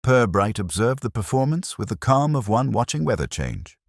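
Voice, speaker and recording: A man narrating a mystery story in a measured, wryly understated way. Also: medium pitch, sing-song, no room sound, studio quality. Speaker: audiobook narrator.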